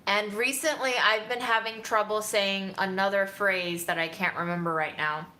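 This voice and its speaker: Woman vlogger doing a casual q&a, musing, crisp bright voice, medium pitch. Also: fairly even intonation, normal speed, a little hiss or hum. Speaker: vlogger answering viewer questions. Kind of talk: casual Q&A chat.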